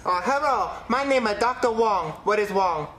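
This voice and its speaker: A man voicing a solemn foreign woman doctor who speaks in stilted, deadpan mock proverbs for a comedy skit. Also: sits high, normal speed, a loud crowd, poor audio.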